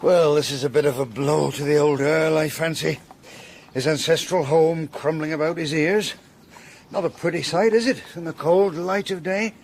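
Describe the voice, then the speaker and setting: An actor playing a belligerent, sneering middle-aged quarry owner with an English accent, blunt and threatening, in a period radio drama. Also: male voice, fairly high pitch, steady tone, moderate pace, slight background noise, close to an everyday voice. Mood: mocking.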